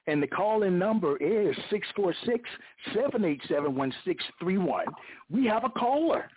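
A man hosting a radio call-in show, taking callers in a slow, laughing manner. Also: sits high. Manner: slow, lively, breathy, with frequent laughs. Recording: phone-like line.

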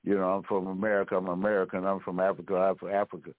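A man with a lowish voice lectures on an internet radio show, stopping and restarting his sentences often. The sound is tinny and narrow, like a phone call, and completely dry with no room around it. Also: completely flat delivery, no room sound. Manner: halting, many restarts and repeated words. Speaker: history talk show host. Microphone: phone line.

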